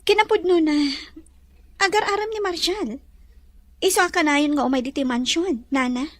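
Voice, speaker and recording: A woman's voice: very high voice, normal speed, no echo. A woman playing a well-off young madam in a romantic Ilocano audio drama. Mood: demanding.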